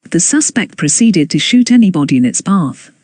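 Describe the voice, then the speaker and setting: An announcer reading scripted emergency news bulletins in a formal, measured way. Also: mid-range voice, big pitch swings, a little mellow, no room sound.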